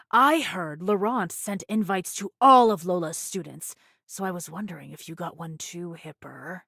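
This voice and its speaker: A woman's voice: medium pitch, a bit bright, no echo, clean studio sound. Menacing, mocking pirate woman. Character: vengeful, taunting female pirate first mate, one-eyed ex-convict. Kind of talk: anime video game voice acting.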